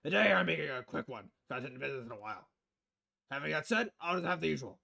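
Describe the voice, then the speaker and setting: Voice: medium pitch, expressive, quick pace, vocal fry, bright, forceful tone, voice pushed down, full character voice. A streamer voicing a blunt, pompous, middle-aged male newspaper editor in a visual novel game. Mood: brash, insulting.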